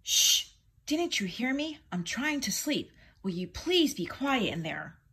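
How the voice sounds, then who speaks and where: A woman's voice: on the high side, sing-song, unhurried, bright, forceful tone, slight background noise, voice pushed up, full character voice. A woman voicing an exasperated, sleepy young child in a storybook, shushing and scolding insistently. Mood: hushed, annoyed. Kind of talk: storybook character acting.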